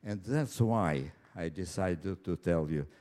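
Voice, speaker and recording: A man of ninety-nine from Ukraine with a Russian accent, telling his own story live in a halting, deliberate way with repeated words and pauses. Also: low-pitched, dark tone. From Ukraine, later Moscow. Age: 99, nearly one hundred. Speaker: personal storyteller. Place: live stage before an audience.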